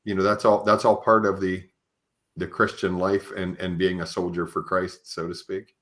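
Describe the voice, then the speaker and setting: Male podcast host, somewhat low voice, halting conversational storyteller. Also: level tone, fairly bright tone. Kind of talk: conversational interview with personal anecdotes.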